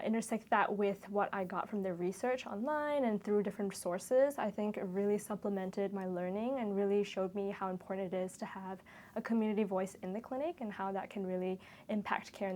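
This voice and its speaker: A woman in her twenties who grew up in Malaysia gives a reflective, interview-style testimonial. Her voice sits at an average pitch for a woman. She talks earnestly, linking loose phrases as she thinks back over her experience. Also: a little mellow, a little hiss or hum. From Kuala Lumpur, Malaysia. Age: 20s. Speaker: medical student-to-be sharing a shadowing experience.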